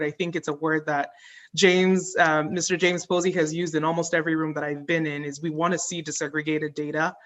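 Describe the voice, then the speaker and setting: A woman with a notably low-pitched voice speaks at a public meeting. She is earnest and measured, pausing often on filler words as she lays out her expectations as a committee member. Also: a bit bright. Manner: earnest, measured, frequent ums.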